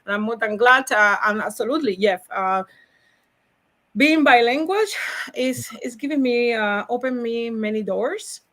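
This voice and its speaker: A woman with a Cuban Spanish accent, a native Spanish speaker, talking about her logistics work in a podcast interview with lively, upbeat energy and frequent laughs. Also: a little high, normal speed. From Cuba. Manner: energetic, upbeat, laughs often, repeats words. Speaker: logistics salesperson, guest.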